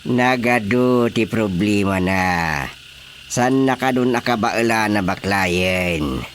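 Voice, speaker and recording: A man acting an excitable village chairman in a radio drama comedy, exclaiming as he deals with residents. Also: on the low side, takes it slowly, a little hiss or hum. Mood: defensive. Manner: exclamatory, animated.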